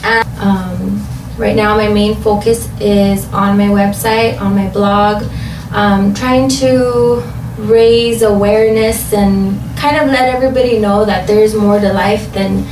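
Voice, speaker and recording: A woman from Los Angeles, a guest in a casual interview at home, talking in a relaxed, hesitant way with many ums. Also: medium pitch, slow pace. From Los Angeles, USA. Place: home craft room. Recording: roomy, distant, noisy home recording.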